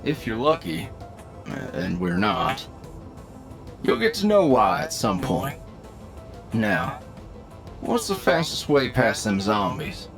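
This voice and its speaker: Man acting as a gravelly, unhurried demonic hunter mentor. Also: mid-range voice, big pitch swings, music in the background, exaggerated voice. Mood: teasing. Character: cocky, seasoned male demonic hunter mentoring an apprentice. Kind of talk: audio roleplay acting.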